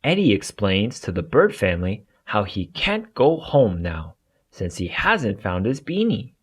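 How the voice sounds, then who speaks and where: A man narrating a children's storybook aloud in an expressive way for young listeners. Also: fairly low pitch, unhurried, slightly rough.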